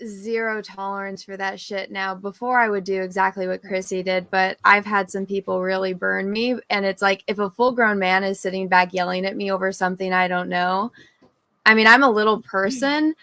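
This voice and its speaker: A woman's voice: mid-range voice, fairly even intonation, moderate pace, pushed and bright, no echo. A woman speaking bluntly and candidly in a casual panel chat.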